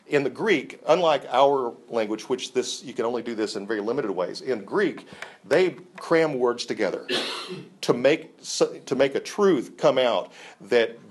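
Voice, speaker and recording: A man's voice: mid-range voice, animated, unhurried, slight background noise. An enthusiastic man teaching a Bible class, talking conversationally with frequent restarts and fillers.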